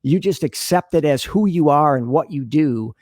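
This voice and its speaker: A man's voice: fairly high pitch, expressive, moderate pace, a little mellow, dry recording, clean studio sound. A man speaking as a podcast guest, explaining earnestly and conversationally, often voicing imagined dialogue as he goes. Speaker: podcast guest, sales leader and business consultant. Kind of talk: conversational interview answers.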